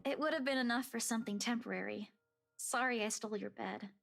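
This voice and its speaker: Creaky, drowsy young woman. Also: a little high, quick pace, background noise. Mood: soft, apologetic. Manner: soft, drowsy, apologetic. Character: timid, apologetic, childlike young woman, just awake. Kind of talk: visual novel voice acting.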